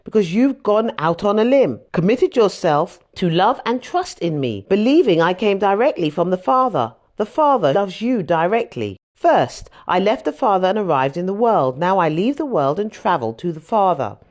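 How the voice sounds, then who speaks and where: A woman reading scripture aloud as scripted audiobook narration, with an expressive storytelling delivery. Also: mid-range voice, moderate pace, hardly any pauses. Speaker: audiobook reader.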